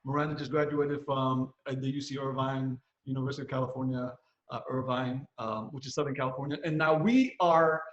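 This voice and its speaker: Man, fifties, mid-pitched, casual advice-giving podcast host, halting phrasing, echoey room sound. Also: fairly even intonation. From Philippines, moved to the US at nine, San Francisco Bay Area. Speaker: podcast host, parent of young adults. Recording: roomy echo, slightly dull sound.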